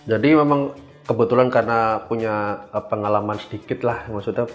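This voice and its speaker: Young Indonesian male podcast guest, lowish voice, explaining informally and haltingly, light music behind. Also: muffled recording. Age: young adult. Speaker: interview guest, government cybersecurity engineer. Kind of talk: casual explanatory interview answers.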